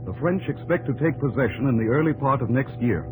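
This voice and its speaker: A young aide played by a man narrates with lively intonation and a respectful male voice. It is 1950s radio drama storytelling, with music clearly behind him. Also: medium pitch, brisk, natural voice, poor audio. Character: dutiful young male presidential secretary and narrator.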